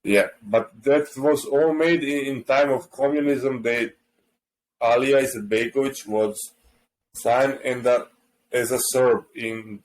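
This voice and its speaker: A man's voice: medium pitch, steady tone, measured pace, rough recording. A man from Bosnia, explaining history in broken phrases during a casual podcast chat.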